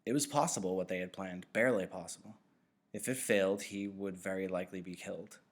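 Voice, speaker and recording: A teacher reading a novel aloud, narrating in a steady, even way. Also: male voice, low voice, a little fast.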